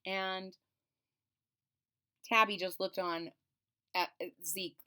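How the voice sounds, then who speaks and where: A woman's voice: on the low side, a bit bright. A woman reading a children's storybook aloud expressively, drawing out phrases and adding explanatory asides about the pictures. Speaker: storybook reader and channel host.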